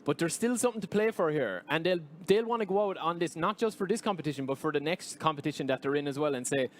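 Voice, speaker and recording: A man from Ireland giving excited, chatty color commentary on live esports football. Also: on the high side, fast, a little hiss or hum.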